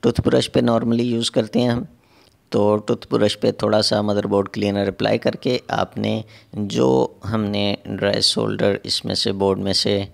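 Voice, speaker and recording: A man's voice: fairly low pitch, flat delivery, unhurried, gravelly. A man narrating a step-by-step repair tutorial as an instructor, in a methodical way with repetitive phrasing.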